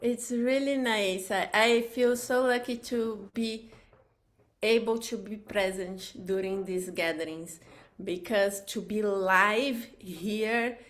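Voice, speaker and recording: A woman from Brazil with a Brazilian Portuguese accent, chatting casually on a live stream and pausing to search for her words in English, her second language. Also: mid-range voice. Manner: hesitant, searching for words, laughs often.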